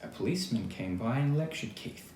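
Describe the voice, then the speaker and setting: Male storybook reader, animated and expressive with chatty asides; roomy sound, faint noise behind. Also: mid-range voice, fairly quick. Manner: expressive, acts out quoted lines, chatty asides. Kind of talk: read-aloud of a children's picture book with asides.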